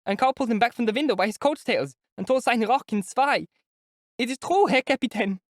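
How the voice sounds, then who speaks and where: A reader voicing an excitable, indignant German landlady with a German accent, rattling out her complaints. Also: medium pitch, brisk, no echo, studio quality, voice pushed up, natural voice. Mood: aggrieved. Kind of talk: audiobook character voice.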